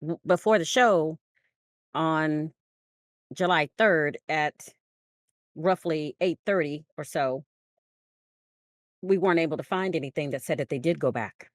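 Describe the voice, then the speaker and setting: American female podcast co-host, notably low voice, close dry sound, emphatic conversational storyteller. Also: a little slow, long pauses, dry recording. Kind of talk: conversational discussion.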